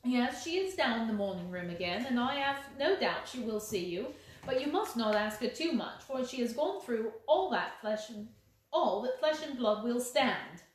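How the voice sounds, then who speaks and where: A woman's voice: a little high, lively intonation, moderate pace, close to an everyday voice. A woman voicing a loyal, bitter lady's maid who talks indignantly and emphatically, fiercely protective of her mistress. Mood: resentful, confiding. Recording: very echoey room.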